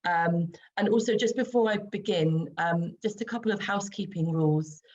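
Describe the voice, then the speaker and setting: A woman in her forties with a croaky voice hosting an online video-call webinar, chatty and full of ums. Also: lowish voice, normal speed, darkish tone, highs cut off. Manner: chatty, many ums, light laughs. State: croaky voice after throat infection. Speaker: webinar host and skincare expert. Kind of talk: casual online presentation.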